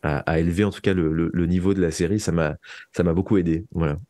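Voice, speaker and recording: A man with a low voice gives conversational interview answers as a guest. He often pauses to search for words and repeats small phrases. The sound is dry, with hardly any room around it. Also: fairly even intonation, warm tone, no room sound. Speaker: guest, audio fiction writer.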